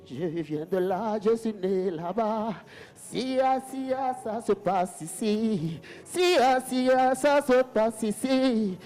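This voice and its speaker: A man's voice: high voice, takes it slowly, a little mellow, faint music behind. A man giving a spoken-word performance with rhythmic, chanted repetition.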